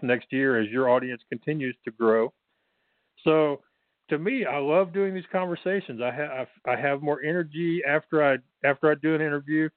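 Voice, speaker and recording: A man's voice: fairly high pitch, no echo. Chatty Texan man on a phone line. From Texas. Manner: chatty, frequent restarts and fillers. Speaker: guest author. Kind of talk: casual interview. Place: bedroom at home.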